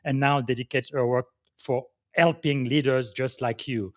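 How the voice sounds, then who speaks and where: A man who grew up in the Congo hosting a podcast interview, talking with frequent filler pauses and enthusiastic introductions. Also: medium pitch, steady tone, no echo, clean studio sound. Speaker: podcast host.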